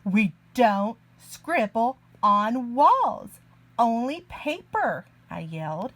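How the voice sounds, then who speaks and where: A woman reading a picture book aloud to young children in an expressive, deliberate way. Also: on the high side. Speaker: children's story reader, early learning teacher.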